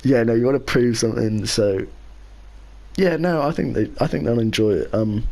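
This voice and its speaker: A man co-hosting a casual racing podcast, talking in a measured way and softening his opinions as he goes. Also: medium pitch. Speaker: podcast co-host and league racer.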